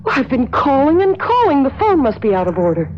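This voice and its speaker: A woman's voice: sits high, huge pitch swings, fairly quick. An actress playing an anxious, emotional woman in a radio suspense drama, with a dramatic, hesitant delivery. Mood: worried. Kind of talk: 1970s radio drama acting. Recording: dull, faintly noisy old radio recording.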